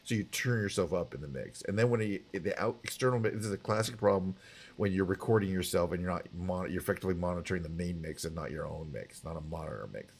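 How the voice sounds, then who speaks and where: A man's voice: deep voice, quick pace, slightly rough. A man streaming from a home setup who talks casually and rambles through technical points, often stopping to correct himself. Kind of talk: singing with guitar, then casual chat. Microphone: headphones and studio mic. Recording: guitar music underneath.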